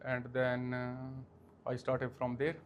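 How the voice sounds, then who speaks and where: A man in his forties from India with an Indian accent, answering interview questions in a halting way with many fillers. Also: mid-range voice, monotone, clean tone, dull audio. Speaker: guest, franchise owner.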